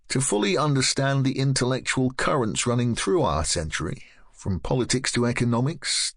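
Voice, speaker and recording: A man reads a nonfiction audiobook in a measured, articulate way, his pitch rising and falling in a lively, expressive line. He sits close to a studio microphone in a dry, dead booth with no room sound at all. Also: dry recording. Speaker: audiobook narrator. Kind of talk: scripted audiobook narration.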